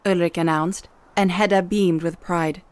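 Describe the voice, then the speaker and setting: Low-voiced woman storytelling narrator. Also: steady tone, moderate pace, darkish tone. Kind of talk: scripted dramatic storytelling narration. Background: music.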